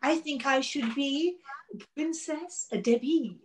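A woman's voice: high-pitched, takes it slowly, distant mic, background noise. A middle-aged woman trading comic banter from home on a video call.

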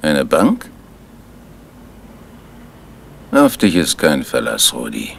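A man's voice: rumbling low, very animated, a little slow, gravelly, fairly bright tone, slightly rough, exaggerated voice. A man playing a menacing, sardonic crime boss in a 1970s German radio play, mocking and commanding.

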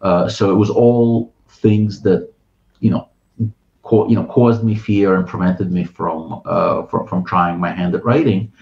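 A middle-aged man from Ukraine with a Russian accent, a guest on a video call, talking thoughtfully with many filler pauses. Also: low voice, vocal fry, darkish tone, muffled recording. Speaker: guest, writer and translator. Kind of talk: casual interview talk. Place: home room with bookshelves.

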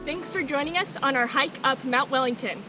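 Teen girl, high voice, easy vlog host with ums, outdoors. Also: fairly quick, music in the background, poor audio. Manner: relaxed, with frequent ums. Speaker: family vlogger. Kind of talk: casual vlog presenting. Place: outdoors in a forest. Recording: clear outdoor noise.

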